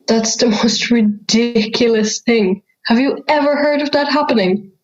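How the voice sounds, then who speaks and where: A fairy-tale schoolgirl in an audio drama, played by a young woman, teasing and self-conscious. Her voice sits fairly high and comes through slightly lo-fi, with a questioning, chatty delivery. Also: a little slow, a little mellow, close to an everyday voice. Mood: sceptical. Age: young adult.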